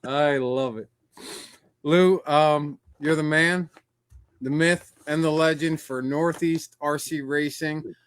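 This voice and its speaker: A male podcast host with a smooth, clear voice, recorded dry and close. He sounds upbeat and appreciative, pitched a touch higher than most men. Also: steady tone, slow pace, no echo. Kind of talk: casual chat.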